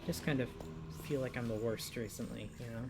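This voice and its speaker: A twenty-two-year-old man with a mild Midwestern accent speaks in a mid-range voice, soft and reflective, wandering from thought to thought with frequent "you know". Loud background music plays under his talk. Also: medium pitch, fairly even intonation, a little fast. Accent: Midwestern American. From United States. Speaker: gaming vlogger. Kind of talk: casual confessional chat while playing. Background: loud game music.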